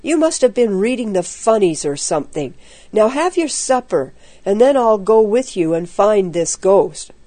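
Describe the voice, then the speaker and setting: A woman's voice: medium pitch, big pitch swings, normal speed, mellow, no room sound. A woman storyteller voicing a sceptical, bossy older boy on a camping trip, firm and dismissive.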